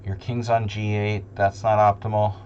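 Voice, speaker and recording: A man streaming chess, thinking aloud in casual, deliberating commentary, muttering to himself between pauses. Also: lowish voice, little pitch movement. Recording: dull lo-fi sound, loud hum.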